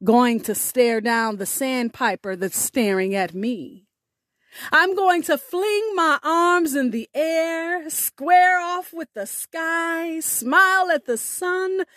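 A woman hosting a podcast, speaking in a deliberate, expressive way with drawn-out emphasis, as if reading a prepared sign-off. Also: high-pitched, dry recording, clean studio sound. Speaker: podcast host. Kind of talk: scripted podcast sign-off with a recited poem.

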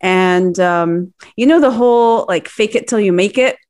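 A woman's voice: medium pitch, measured pace, a little mellow. A woman chatting casually and informally as a podcast co-host. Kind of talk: casual chat.

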